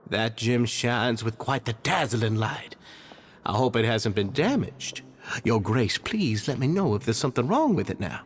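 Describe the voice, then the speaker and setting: An actor playing a deferential, ingratiating society leader in an anime-style video game, with formal, effusive courtesy. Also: male voice, mid-range voice, expressive, unhurried, husky. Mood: obliging.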